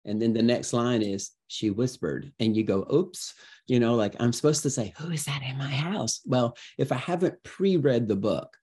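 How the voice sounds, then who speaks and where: A man giving conversational interview answers as a guest educator, expressive and emphatic like a storyteller, acting out his examples. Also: mid-range voice, normal speed, breathy edge.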